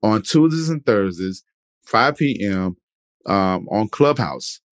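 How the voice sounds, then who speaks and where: A young man, hosting a podcast interview in a relaxed, chatty way with lots of fillers. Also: on the low side, slow, no echo, studio quality. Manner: laid-back, filler-heavy, repeats "definitely" and "man". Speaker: podcast host. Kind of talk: casual interview chat.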